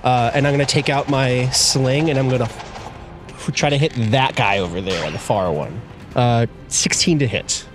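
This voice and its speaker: A man's voice: mid-range voice, normal speed. A man playing a tabletop roleplaying game, chatting casually as he describes his moves. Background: cinematic music.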